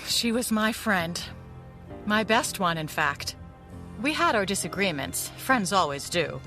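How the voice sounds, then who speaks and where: A woman's voice: mid-range voice, animated, a little slow, sharp tone. A woman acting a wistful, guarded veteran ally of a vigilante in a pulp audio drama, speaking sincerely and reflectively. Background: music.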